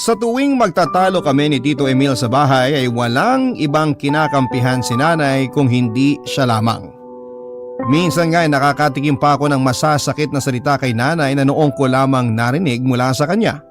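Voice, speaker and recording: Filipino male storyteller over clear background music. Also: medium pitch. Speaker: radio drama narrator. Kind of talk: emotive scripted first-person letter reading.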